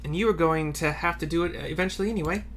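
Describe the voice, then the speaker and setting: A man's voice: on the high side, quick pace, fairly bright tone, a little hiss or hum, natural voice. A man voicing a frank female resistance fighter from a game, blunt, with an attempted Irish accent. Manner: blunt, emphatic. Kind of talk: amateur read-aloud of game dialogue.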